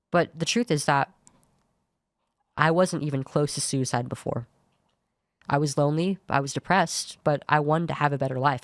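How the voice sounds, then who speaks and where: A young woman with a low voice that is hard to place as male or female, giving an earnest personal account as a podcast guest, often restarting her sentences. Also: no echo, studio quality. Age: young adult. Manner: earnest, searching, many restarts. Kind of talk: personal account in studio talk show.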